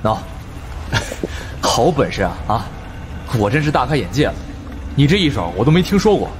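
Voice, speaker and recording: A man playing a chummy flatterer with lively intonation. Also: medium pitch, normal speed, slight background noise, voice pushed up, natural voice. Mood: flattering, curious. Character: ingratiating man flattering a stranger, probing, smooth-talking. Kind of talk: audiobook character dialogue.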